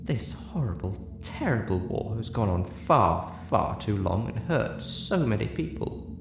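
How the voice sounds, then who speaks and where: Storybook pegasus mare, played by a male reader: timid, earnest, hushed and breathy, faltering delivery. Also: lowish voice, big pitch swings, unhurried, roomy sound, music in the background, heavily acted. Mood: sad, earnest. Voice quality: soft, breathy. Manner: hesitant, timid. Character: shy, gentle, earnest female pegasus pony.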